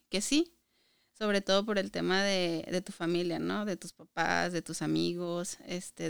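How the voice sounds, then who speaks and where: A woman's voice: medium pitch, gravelly, fairly bright tone, clear, resonant tone, dry recording, clean studio sound. A woman in her thirties or forties with a northern Mexican Spanish accent, chatting casually as a podcast guest. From Chihuahua, Mexico. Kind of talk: casual conversational interview.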